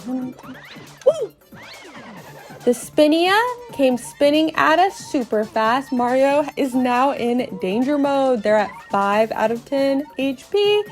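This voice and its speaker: A woman hosting a narrated video game playthrough, playful and expressive with cutesy exclamations, with music behind her. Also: high voice, slow. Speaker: podcast host and video game player. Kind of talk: playful narrated let's play, storytelling.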